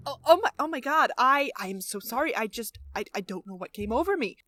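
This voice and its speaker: An actress playing a young college senior at a job interview in a radio play, emphatic and colloquial. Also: female voice, fairly high pitch, expressive, normal speed, fairly bright tone, soft background music. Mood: nervous, flustered. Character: female college senior job applicant, turning brash bro.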